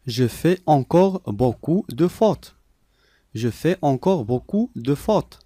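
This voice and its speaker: Male lesson reader: deliberate, clear, repeats each phrase, animated intonation. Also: a little high, no room sound, studio quality. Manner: clear, deliberate, each sentence said three times. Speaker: language lesson voice. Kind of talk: slow repeated phrase reading for learners.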